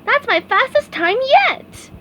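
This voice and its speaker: Brief: woman putting on a very high, bouncy cartoon sponge boy, always thrilled. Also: a little slow, full character voice. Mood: excited. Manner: squealing, breathless excitement. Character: cheerful excitable male sea sponge.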